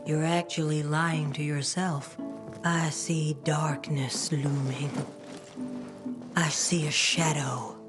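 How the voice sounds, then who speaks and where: A cryptic seer woman speaking low and unhurried in a hushed, ominous tone. Her voice sits deep for a woman and is backed by clear music, as in a video game cutscene.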